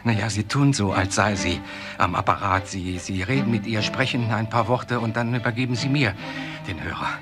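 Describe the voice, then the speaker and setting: An actor playing a desperate, controlling professor in a crime radio play, speaking in a deliberate, halting, insistent way. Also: male voice, on the low side, over music, close to an everyday voice. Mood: urgent, scheming. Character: desperate, controlling professor who confesses to killing his wife.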